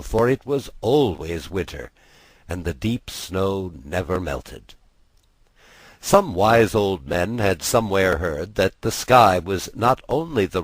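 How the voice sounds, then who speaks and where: Male storybook narrator, husky and low, measured dramatic phrasing, close dry sound. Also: dry recording, rough recording. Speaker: audiobook narrator. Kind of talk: expressive scripted storytelling narration.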